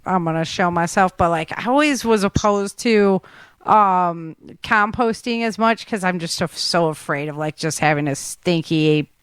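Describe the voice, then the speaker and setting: A woman's voice: lowish voice, normal speed, smooth, no room sound. A woman hosting a podcast in casual chat, informal and slangy, chiming in freely. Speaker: podcast host.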